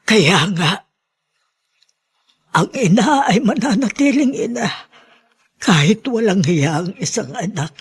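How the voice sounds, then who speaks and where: A very husky, weary old mother. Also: medium pitch, lively intonation, slow, pauses often, heavily acted. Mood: hurt, bitter. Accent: Filipino. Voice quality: husky, breathy. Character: weary, aggrieved older mother and grandmother. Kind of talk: radio drama acting.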